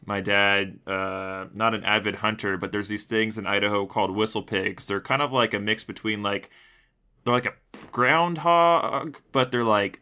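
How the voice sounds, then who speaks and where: A man's voice: fairly low pitch, bright, forceful tone, clear, resonant tone. A man raised in Texas co-hosting a casual podcast, telling stories bluntly and swearing freely. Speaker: podcast co-host. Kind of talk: casual podcast chat, storytelling. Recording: lo-fi muffled audio.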